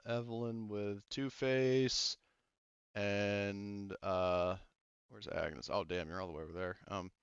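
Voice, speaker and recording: A man's voice: fairly low pitch, vocal fry, clean tone, no echo. A man casually refereeing a tabletop roleplaying game as game master. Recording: slightly dull, lo-fi voice-chat audio.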